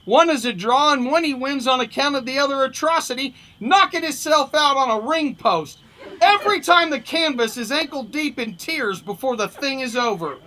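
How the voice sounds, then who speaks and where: An exasperated boxing trainer in a comic pulp story , put on by a man reading aloud. He explains things in slangy fashion, in a high, forceful voice. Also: expressive, normal speed, exaggerated voice. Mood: indignant. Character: indignant, patient male boxing trainer explaining a hopeless fighter.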